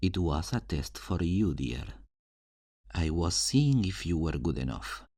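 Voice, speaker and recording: A cocky fantasy thief played by a man with a very low voice. He takes his time over every phrase, flirting and teasing with theatrical self-assurance. The sound is close and dry, as in an intimate audio roleplay. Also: sing-song, a little slow, darkish tone, no room sound, exaggerated voice. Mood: teasing, admiring. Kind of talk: fantasy ASMR roleplay, addressing the listener.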